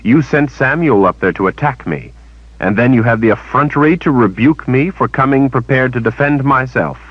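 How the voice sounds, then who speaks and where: A man's voice: medium pitch, big pitch swings, normal speed, dry recording, muffled recording, voice pushed up. A man voicing a young woman who speaks indignantly and defiantly. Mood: angry. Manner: indignant, rising.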